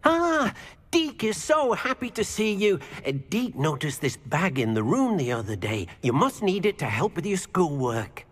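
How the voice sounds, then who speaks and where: A small servile house-elf speaks slowly and earnestly, with swooping pitch. It is an eager video game creature, heard in a long hall reverb. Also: very animated. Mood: eager, delighted. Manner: refers to self by name, halting and earnest. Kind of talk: video game character acting.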